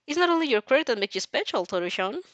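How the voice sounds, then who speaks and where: A woman voicing a polite, guarded, quietly stubborn young girl in an audio fan story, speaking in a measured way. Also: high voice, sing-song, dry recording, voice pushed up. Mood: earnest, stubborn.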